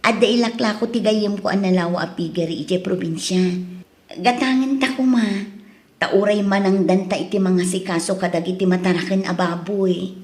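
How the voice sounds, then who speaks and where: An actress with an Ilocano Filipino accent playing a hesitant young wife tempted by easy money in a radio drama. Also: female voice, mid-range voice, fairly even intonation, moderate pace, darkish tone, lightly acted. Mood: coaxing. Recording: roomy, echoing studio drama sound.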